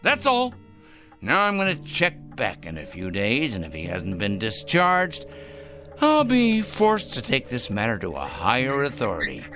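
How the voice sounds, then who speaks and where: A man's voice: mid-range voice, huge pitch swings, measured pace, bright, forceful tone, faint music behind, heavily acted. An actor in a radio drama playing a fussy, self-righteous male fanatic who speaks in a prim, formal, emphatic way. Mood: accusing, insistent.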